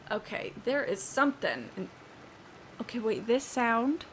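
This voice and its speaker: A woman's voice: fairly high pitch, very animated, a little slow, a little hiss or hum. A woman chatting casually as she streams a game, swearing and rambling as she thinks aloud. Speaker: live streamer. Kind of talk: casual gameplay stream chat.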